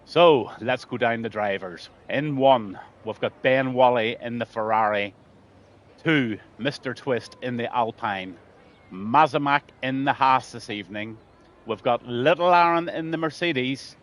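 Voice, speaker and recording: A man's voice: mid-range voice, a little slow, a bit bright. A man with a Scouse accent commentating a sim race on a live stream, greeting viewers by name and letting his voice trail off at the ends of lines.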